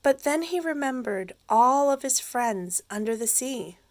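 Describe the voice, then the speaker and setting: A woman reads a children's storybook like a teacher with her young class. Her voice sits fairly high and moves in lively swoops. She goes slowly, explaining simply, in a close, dry sound. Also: no room sound. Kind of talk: expressive children's read-aloud storytelling.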